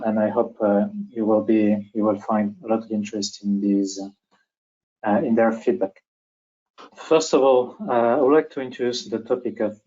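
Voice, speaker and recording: A man with a French accent presenting a business webinar as moderator, speaking haltingly with repeated words, on dull webinar audio. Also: on the low side, darkish tone. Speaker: webinar moderator and company executive.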